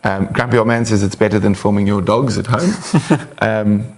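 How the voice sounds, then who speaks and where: A man with a somewhat low voice hosts a live wildlife broadcast. He reads viewer questions in a loose, friendly way, full of fillers and easy laughs. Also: normal speed. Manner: relaxed, chatty, laughs often, many "um"s. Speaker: host of a live wildlife show. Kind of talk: casual live-stream chat, reading viewer questions.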